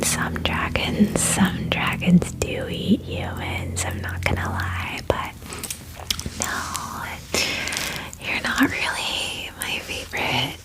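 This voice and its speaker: A woman voicing a friendly, chatty female dragon in a breathy ASMR whisper, gushing and reassuring like a best friend. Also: fairly low pitch, poor audio. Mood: amused, chatty. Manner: gushing bestie talk, casual fillers, reassuring. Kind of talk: whispered ASMR roleplay, personal attention.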